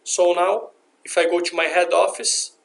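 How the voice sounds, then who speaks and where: A man giving a step-by-step software tutorial in an explanatory, instructional way. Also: sits high, level tone, normal speed, gravelly, a little hiss or hum, rough recording. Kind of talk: scripted software tutorial narration.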